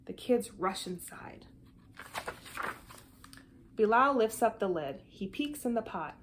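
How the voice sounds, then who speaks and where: A woman reads a children's picture book aloud with an animated, deliberate storytelling delivery. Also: fairly high pitch, slight background noise. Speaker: storybook reader.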